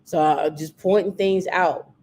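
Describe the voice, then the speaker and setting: Woman podcast host, deep mellow voice, chatty and emphatic. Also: steady tone, measured pace, a little hiss or hum. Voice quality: naturally deep voice. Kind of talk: casual chat.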